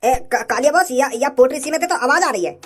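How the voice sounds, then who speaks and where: A woman's voice: high-pitched, animated, a little fast, faint music behind. A woman voicing a jittery, simple male bandit henchman in a comic cartoon, stammering as they talk. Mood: nervous.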